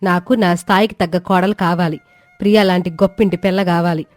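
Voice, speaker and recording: A woman's voice: fairly low pitch, steady tone, fairly quick, a little mellow, no echo, soft background music, natural voice. A woman playing a haughty, spiteful middle-aged mother-in-law, scornful and evasive, in a family drama. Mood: defiant scorn.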